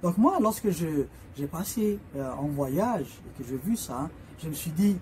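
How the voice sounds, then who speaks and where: A man's voice: a little high, expressive, measured pace, dark tone, a little hiss or hum. A man whose first language is Somali, an author presenting his books in an informal talk, hesitating often with filler sounds and restarts as he explains. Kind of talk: informal spoken book presentation.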